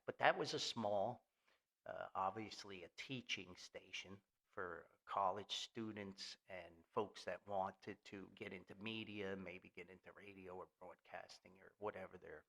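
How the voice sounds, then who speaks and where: An older American man hosting a podcast in a rambling, nostalgic monologue, folksy, halting and enthusiastic. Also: fairly low pitch, a bit bright. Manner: folksy, halting, enthusiastic, refers to himself by name. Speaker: podcast host.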